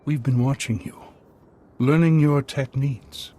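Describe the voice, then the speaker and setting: An actor playing an old terrorist master in a video game, calm, smug and menacing, with a husky voice. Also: male voice, mid-range voice, measured pace, natural voice. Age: older. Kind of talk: video game villain acting.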